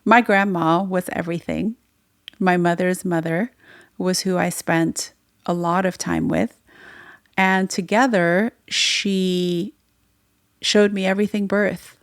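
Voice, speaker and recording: Woman podcast host, Farsi native speaker, low gravelly voice, dry close sound, reflective storytelling. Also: fairly even intonation, slow, no room sound. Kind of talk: solo podcast monologue.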